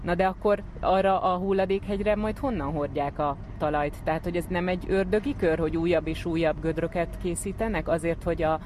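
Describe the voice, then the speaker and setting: A man's voice: high-pitched, steady tone, few pauses, darkish tone. A man reporting on location outdoors, putting interview questions. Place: outdoors on top of a landfill. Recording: outdoor location, clear background noise.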